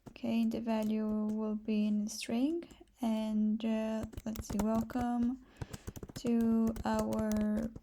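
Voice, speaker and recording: A Brazilian woman with a fairly high, even voice hosts a casual programming tutorial. She speaks softly with a creaky edge, sometimes sinking toward a near-whisper, and her accent is that of a native Portuguese speaker. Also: very slow, a little hiss or hum. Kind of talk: casual step-by-step screencast tutorial.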